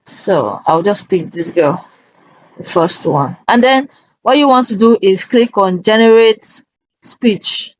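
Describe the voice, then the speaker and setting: A woman hosting a casual software walkthrough, talking step by step in a halting way and often checking in with an okay. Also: mid-range voice, lively intonation, low-quality recording. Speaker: tutorial host.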